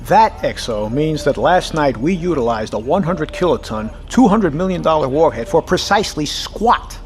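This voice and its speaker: An actor playing a gruff, sarcastic, exasperated submarine captain in an audio drama, with a profane, sardonic way of talking. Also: male voice, on the high side, very animated, moderate pace, faint music behind.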